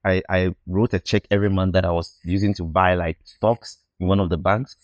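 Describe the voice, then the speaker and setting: A man's voice: low-pitched, steady tone, a little fast, no room sound, clean studio sound. A young man from Ghana, a podcast guest telling his life story in an earnest, reflective way and often repeating words while he finds his phrasing. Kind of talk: casual interview storytelling.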